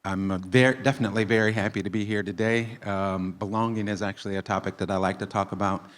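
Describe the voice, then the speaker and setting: A man from the United States takes part in a panel discussion. His voice is on the low side, and he talks in a relaxed, casual way, often starting sentences with a filler. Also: fast talker. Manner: easygoing, starts with ums.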